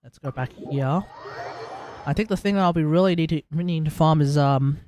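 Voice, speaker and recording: A man's voice: on the high side, brisk, darkish tone, a little hiss or hum. A man hosting a casual gaming livestream, rambling and thinking aloud. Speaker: livestream host.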